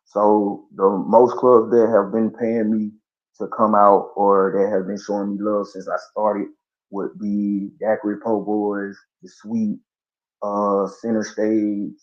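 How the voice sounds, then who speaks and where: A man, speaking low and easy as he tells stories in a casual podcast interview. His talk is loose, full of slang and fillers. The audio is dull and lo-fi. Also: level tone, takes it slowly. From Columbus, Georgia. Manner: laid-back storytelling with slang and fillers. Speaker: guest artist.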